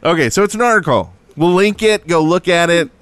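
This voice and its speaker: A man's voice: a little high, expressive, brisk, a bit bright, clear, resonant tone, no room sound. An American man co-hosting a podcast in casual, joking banter. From Alabama, United States. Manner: joking, playful. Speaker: podcast co-host.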